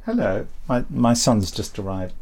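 A man's voice: mid-range voice, sing-song, a little slow, darkish tone, slight background noise. A middle-aged British man hosting a podcast in casual chat, with a jokey, rambling, self-mocking way of talking. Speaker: podcast host.

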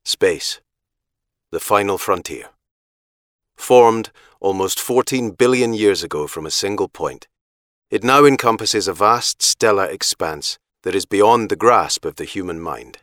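A man giving a measured, solemn scripted audiobook narration. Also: fairly low pitch, lively intonation, a bit bright, no echo, clean studio sound.

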